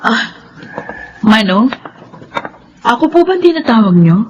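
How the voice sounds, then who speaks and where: An actress playing an outspoken, caring young working woman in a Filipino radio drama, expressive, with a Filipino accent. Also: on the high side, measured pace, dull audio, slight background noise. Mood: puzzled. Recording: loud music behind the voice.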